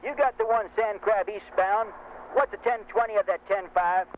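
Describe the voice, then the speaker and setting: A man's voice: sits high, steady tone, moderate pace. A man playing a friendly, courteous CB radio operator who talks in lingo through a radio filter. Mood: obliging. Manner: jargon-laden, courteous radio talk. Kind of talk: scripted CB radio demonstration dialogue. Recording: muffled, noisy CB radio transmission.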